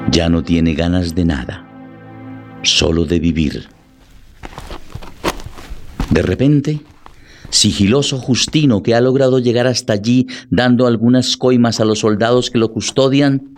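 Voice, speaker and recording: A man narrating a literary drama in a solemn, deliberate way, with music behind his voice. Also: on the low side.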